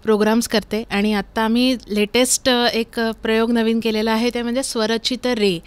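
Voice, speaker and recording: A woman's voice: a little high, little pitch movement, normal speed, clear, resonant tone, no echo. A woman, speaking as a guest in a casual interview.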